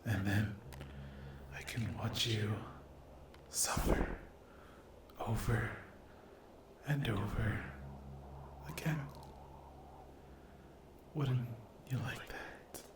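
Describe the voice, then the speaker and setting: A man's voice: lowish voice, extremely slow, long pauses, heavy background noise. A man voicing a cruel, possessive sleep paralysis demon in an ASMR roleplay, speaking in a menacing, condescending, whispery drawl. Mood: taunting, sinister.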